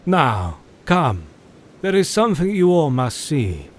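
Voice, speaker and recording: An actor playing a grave, learned professor who hunts monsters, speaking formally and with command in a period audio drama. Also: male voice, fairly high pitch, big pitch swings, slow, slight background noise. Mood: grave, knowing. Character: grave, learned male professor and vampire hunter.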